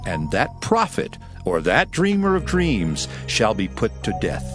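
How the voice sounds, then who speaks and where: A man acts out a solemn prophet-like lawgiver in a scripture drama. His voice sits fairly low and rises and falls dramatically as he declaims each commandment. Music plays clearly underneath. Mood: stern, commanding. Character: Moses, solemn elder lawgiver preaching commandments. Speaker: scripture narrator. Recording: music behind the voice.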